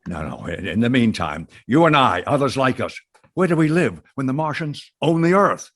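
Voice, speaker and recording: An actor playing a shaken survivor who keeps asking anxious questions in a radio drama. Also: male voice, mid-range voice, very animated, normal speed.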